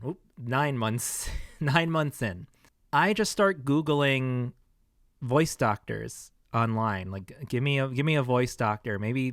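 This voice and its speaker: A man with a fatigued, scratchy throat talks in a hesitant, rambling vlog manner, full of restarts. The sound is close and dry with no room echo. His pitch sits in the middle range. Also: a bit bright. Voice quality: scratchy, tired voice. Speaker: vlogger sharing health experience. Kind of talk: casual personal vlog. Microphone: close microphone.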